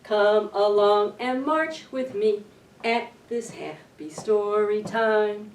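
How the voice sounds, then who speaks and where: A middle-aged woman hosting a children's storytime, reading aloud in an expressive, deliberate way to young listeners. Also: mid-range voice, a little hiss or hum. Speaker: library storytime host. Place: indoor library children's room. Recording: roomy echo, distant camera microphone.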